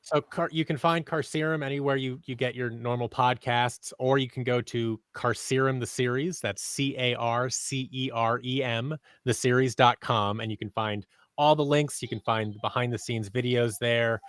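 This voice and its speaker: A man's voice: mid-range voice, steady tone, normal speed, hardly any pauses, fairly bright tone, smooth, no echo. Young man chatting, dry close sound. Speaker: podcast guest, audio drama creator. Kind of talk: casual chat. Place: small bright room at home, video call. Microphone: microphone on boom arm.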